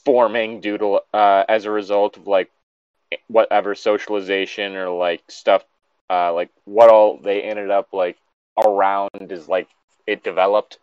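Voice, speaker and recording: A man's voice: fairly low pitch, little pitch movement, measured pace, a little mellow, smooth, no echo, dull audio. A man giving casual, sweary and sardonic commentary as he reads and reacts to a story. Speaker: host reading and reacting to a horror story.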